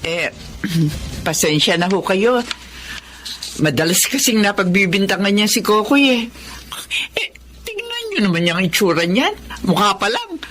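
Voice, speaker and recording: A woman playing a harsh, disdainful mother with a sharp tongue in a Filipino radio drama. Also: mid-range voice, sing-song, a little slow. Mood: scornful. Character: harsh, disdainful mother who scorns her ugly son.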